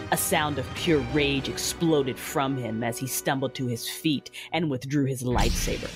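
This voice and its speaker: A woman playing a vengeful, grieving Sith ruler, narrating an audio drama in the first person in a measured, solemn, menacing way. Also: a bass voice. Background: music.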